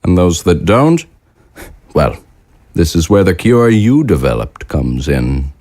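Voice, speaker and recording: A man in an audio drama playing a cold, calculating wartime leader who speaks in a measured, formal, quietly menacing way. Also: deep voice, big pitch swings, dry recording, heavily acted. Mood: coldly menacing.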